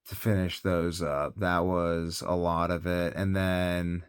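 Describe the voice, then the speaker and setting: A man's voice: sits low, little pitch movement, slow pace, smooth, no room sound, clean studio sound. A tired man talking in a casual, rambling monologue as a health vlogger, hesitant and thinking aloud, often trailing off.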